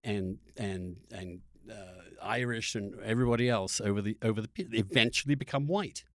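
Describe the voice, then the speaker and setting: A man hosting a conversational interview, halting and repeating words as he works through an argument. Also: lowish voice, no room sound, clean studio sound. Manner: halting, repeats words while arguing a point. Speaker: podcast host.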